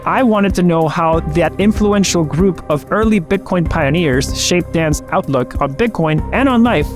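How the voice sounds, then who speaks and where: Man narrating over a music bed. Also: sits high. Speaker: interviewer and narrator. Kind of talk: scripted documentary narration and interview questions. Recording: clear music bed under voice.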